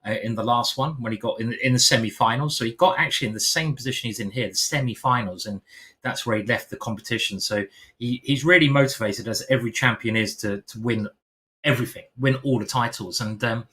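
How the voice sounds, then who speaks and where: A man's voice: medium pitch, fast talker, bright, forceful tone. An English man commentating live chess in a playful, chatty way, with many fillers and restarts.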